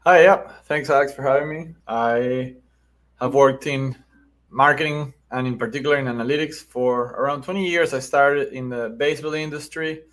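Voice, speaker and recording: A man's voice: mid-range voice, moderate pace, fairly bright tone. A middle-aged man with a Venezuelan accent, explaining things conversationally as an interview guest and often checking in with "right?". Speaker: podcast guest, marketing agency founder.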